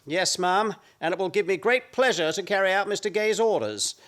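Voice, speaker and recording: A man's voice: high-pitched, moderate pace, fairly bright tone. A man playing a smug, officious chucker-out in a radio farce, mock-polite and pompous. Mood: smugly polite. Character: smug, officious man relishing throwing people out. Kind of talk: stage farce radio play acting.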